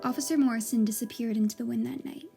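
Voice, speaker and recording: A woman's voice: a little high, fairly even intonation, quick pace, vocal fry, music in the background. A woman playing an earnest, determined investigative reporter who gets easily flustered, in a podcast-style audio drama. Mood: grave, confiding. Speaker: actor and narrator in an audio drama. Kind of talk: podcast-style narration and naturalistic drama dialogue.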